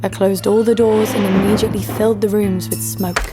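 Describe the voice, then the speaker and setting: A woman's voice: medium pitch, little pitch movement, brisk, natural voice. An actress playing a tough, wry female adventurer who narrates her own story in a scripted audio drama. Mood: wry, tense. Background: music.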